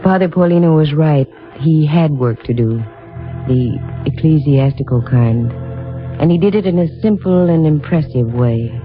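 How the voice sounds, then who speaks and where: Actress as a cool, low-voiced lady detective. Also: normal speed, close to an everyday voice. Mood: wry narrating. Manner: wry, matter-of-fact. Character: cool, shrewd female private detective narrating her case. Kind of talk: 1950s radio detective acting and narration. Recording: dull old radio recording with music.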